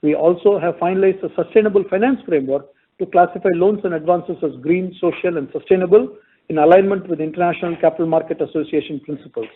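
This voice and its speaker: A man's voice: sits high, fast, breathy edge. Indian man on a tinny phone line. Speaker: company finance executive. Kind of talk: formal earnings call presentation, reading figures. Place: conference call.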